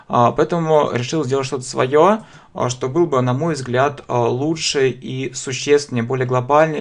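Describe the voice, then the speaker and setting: A man giving a beginner English grammar lesson in a video, often pausing on fillers and saying English examples slowly and with stress. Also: mid-range voice. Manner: slow, emphatic English examples with many "a" fillers. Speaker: English teacher.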